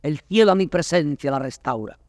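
A proud young prince played by a male actor, torn between dream and waking. He declaims classical stage verse with grandeur in a slightly high male voice. The sound is dry. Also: lively intonation, normal speed, darkish tone, dry recording, lightly acted. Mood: exultant, proud.

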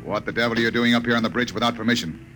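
An actor playing a gruff, impatient spaceship captain who talks in brusque commands in an old-time radio drama. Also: male voice, medium pitch, very fast, loud music behind the voice, natural voice. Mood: irritated, scornful. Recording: very noisy vintage radio recording.

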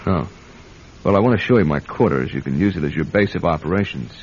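An actor playing a firm, courteous Army general in a radio drama, formal and unyielding. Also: male voice, low voice, animated, fast, slightly rough. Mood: polite, guarded. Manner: formal, polite but unyielding. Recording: muffled vintage radio drama, faint noise.

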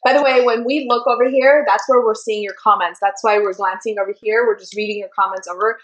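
A woman's voice: mid-range voice, fairly even intonation, quick pace, echoey room, rough recording. A woman hosting a live talk show in a casual, chatty way, often giggling and trailing off mid-thought. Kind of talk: casual live-stream chat.

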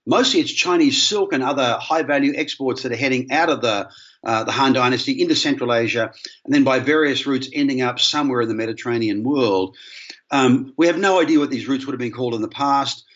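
A man's voice: mid-range voice, fairly quick, a bit bright. Australian man, slightly dull recording. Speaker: interview guest, history professor. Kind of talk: conversational explanation.

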